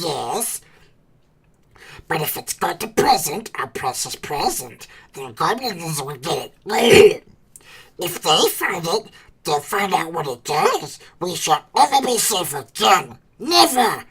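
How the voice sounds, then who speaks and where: A woman's voice: lowish voice, fairly bright tone, voice pushed up, exaggerated voice, poor audio. A woman voicing a wretched, treacherous male cave creature who hisses, whispers and talks to himself in an audiobook. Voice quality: hissing, breathy whisper. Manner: talks to himself, repeats words, swings between whimper and shriek. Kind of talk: audiobook character voice.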